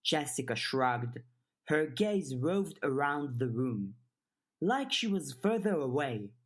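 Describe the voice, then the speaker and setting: A woman's voice: very deep voice, very animated, slow pace. A woman reading scripted fiction as an audiobook narrator. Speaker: audiobook reader.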